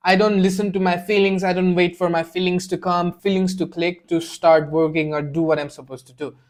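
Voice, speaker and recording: A man's voice: sits high, flat delivery, a little fast. A young man whose first language is Nepali, giving a blunt, earnest motivational talk to the camera and repeating phrases for emphasis. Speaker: self-improvement video creator. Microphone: camera mic, speaking to camera.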